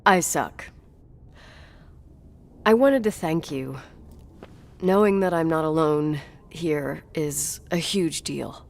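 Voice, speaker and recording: A woman's voice: sits low, takes it slowly, creaky, long pauses, exaggerated voice. An actress playing a guarded, strong-willed young American woman with a dry manner in a naturalistic video game. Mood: tired, wry. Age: young adult.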